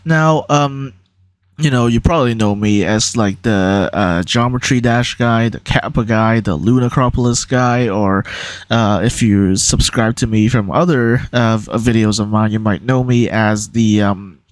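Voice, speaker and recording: A man's voice: fairly low pitch, a little slow, dry recording. A young man from Hong Kong with a neutral American-ish accent, casually talking through an online quiz in a hesitant, rambling way with many fillers. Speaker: YouTuber.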